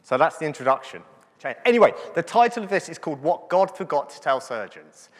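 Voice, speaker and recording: A man's voice: on the high side, big pitch swings, a little fast. Chatty man lecturing in a hall. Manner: chatty, joking, checks in with "yeah?". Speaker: medical doctor lecturing to physiotherapists. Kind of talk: conference lecture. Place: large conference hall. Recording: hall reverb from the venue.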